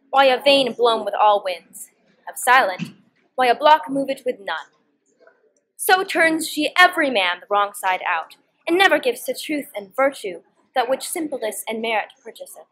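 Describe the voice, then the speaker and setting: A woman's voice: fairly high pitch, fairly bright tone, poor audio. A teenage girl playing a scheming young noblewoman in a student performance of Shakespeare, with a declamatory delivery. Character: Hero, scheming young noblewoman feigning disdain for her cousin.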